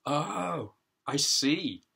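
Man putting on a high, pointed wife. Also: lively intonation, very slow, gravelly, bright, forceful tone, breathy edge, full character voice. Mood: sceptical. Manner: pointed, inquisitive. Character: defendant's wife, a sceptical, questioning woman.

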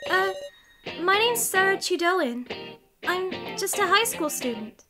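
A woman's voice: extremely high pitch, big pitch swings, measured pace, music in the background, heavily acted. A woman voicing an earnest, outspoken teenage schoolgirl in a game dub. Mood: uneasy. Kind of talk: visual novel game dub.

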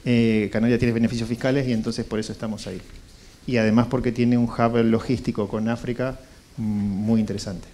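A middle-aged man with an Argentine accent, pitching a project and answering questions in a halting, searching way into a handheld mic. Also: flat delivery, warm tone. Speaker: startup founder presenting. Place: indoor conference room with small seated audience. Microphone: handheld microphone.

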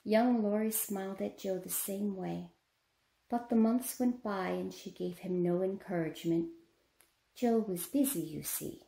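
A middle-aged woman playing a gentle, wistful mother who narrates a radio drama in an echoey space. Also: medium pitch, unhurried, creaky. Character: gentle, wistful mother recalling her daughters. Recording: echoey room.

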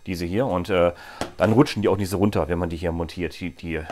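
A man's voice: deep voice, steady tone, fairly quick, a little mellow, a little hiss or hum. A man in his forties chatting casually as he fits parts to a bike in a small workshop, with jokey asides. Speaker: bike gear vlogger. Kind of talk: hands-on product demo.

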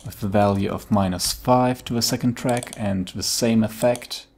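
A man narrating a step-by-step software tutorial in a dry, matter-of-fact way. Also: on the low side, moderate pace, vocal fry, slight background noise.

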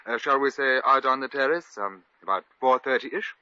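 An actor in a 1950s radio comedy playing a courtly, smitten English lord with a posh British accent and an effusive, slightly flustered manner. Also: male voice, medium pitch, normal speed, sharp tone, no room sound. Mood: charming, polite. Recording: dull old 1950 radio recording.

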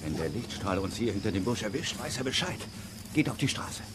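An actor playing a kindly adult uncle in a children's radio play. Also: male voice, fairly low pitch, very animated, a little fast, noisy background. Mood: urgent.

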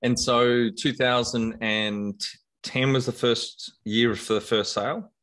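A middle-aged man hosting a podcast interview, relaxed and halting, often restarting his sentences. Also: medium pitch. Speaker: podcast host. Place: bright room with windows. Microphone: video call webcam.

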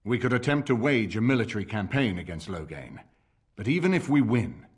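An actor playing a grave older lord in a video game, speaking in a formal, measured, commanding way. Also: male voice, mid-range voice, sing-song, husky. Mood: grave, earnest. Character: grave, dignified older bearded nobleman lord. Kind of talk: video game dramatic acting.

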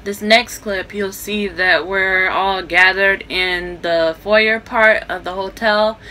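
A woman's voice: mid-range voice, steady tone, slow, sharp tone. A young woman vlogging to her phone held at arm's length, chatty and upbeat. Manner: chatty, upbeat, talks straight to a handheld phone. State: hoarse, losing her voice. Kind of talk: casual vlog chat to camera. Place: hotel rooms, restaurant and mall, indoors. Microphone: phone camera held at arm's length. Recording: phone video with crowd chatter and room noise.

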